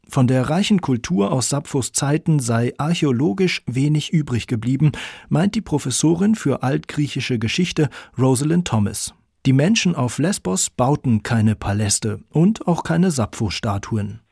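A man's voice: mid-range voice, lively intonation, moderate pace, creaky, a little mellow, husky, no echo, studio quality. A man reading scripted narration for a radio documentary.

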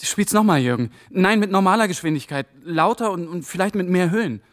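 High-voiced, excitable young man. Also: a little fast. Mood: puzzled, intent. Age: young adult. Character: excitable, ambitious young German man piecing clues together. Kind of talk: German radio drama acting.

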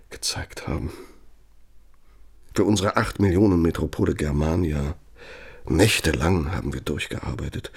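An actor playing an embittered, loyal old aide to an architect in a radio play, confiding and sardonic, speaking deliberately with pointed pauses. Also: male voice, low voice, gravelly, breathy edge, full character voice. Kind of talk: German radio play monologue.

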